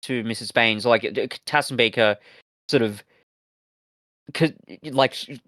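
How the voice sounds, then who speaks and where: A man co-hosting a review podcast in casual discussion, talking haltingly with a wry, sweary manner. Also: medium pitch, a bit bright, no echo. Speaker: podcast co-host reviewing audio dramas.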